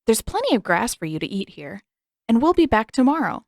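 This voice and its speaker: Woman reading as a gleeful kid, bright and eager, pitched up from her own voice, dry close sound. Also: very animated, brisk, gravelly, no echo. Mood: gentle eager. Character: cheerful playful twelve-year-old boy monk.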